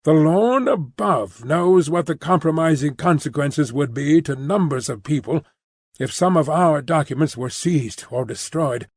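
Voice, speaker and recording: A man's voice: a little high, darkish tone, breathy edge, no room sound, studio quality, heavily acted. A man voicing a loyal, cheerfully stubborn English banker in his late seventies, in emphatic, old-fashioned audiobook dialogue. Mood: earnest.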